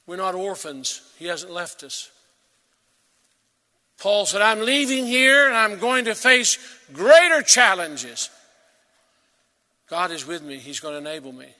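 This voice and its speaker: A man preaching a sermon as pastor, earnest and emphatic, swelling to loud peaks and dropping to quiet. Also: high voice, sing-song, measured pace, pauses often, in a large hall.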